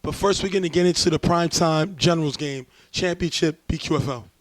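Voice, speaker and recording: Hoarse New man hosting sports talk. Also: a little high, fairly quick, no room sound. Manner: relaxed, chatty play-by-play breakdown. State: losing his voice. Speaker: show host, sports commentator. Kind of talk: casual sports talk show commentary.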